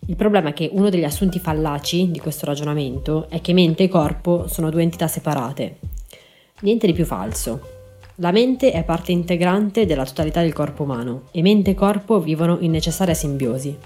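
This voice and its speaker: A woman's voice: low-pitched, brisk, warm tone. A woman narrating a scripted podcast as host, in an informal, explanatory manner. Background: faint background music.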